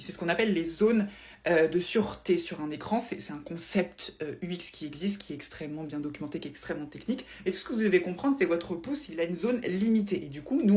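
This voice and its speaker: A woman's voice: mid-range voice, darkish tone. Woman explaining things in a casual, didactic way. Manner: didactic, emphatic, repeats and rephrases. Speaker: presenter explaining phone interface design. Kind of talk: casual explainer talk to viewers.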